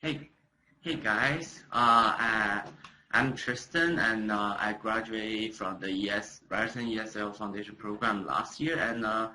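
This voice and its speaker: A man's voice: fairly low pitch, steady tone, a little slow, sharp tone, poor audio. A young man, a non-native English speaker, talking casually about his studies with many hesitations and jokes. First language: not English. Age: young adult. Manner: hesitant, many fillers, joking. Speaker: student speaker. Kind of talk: casual webcast talk.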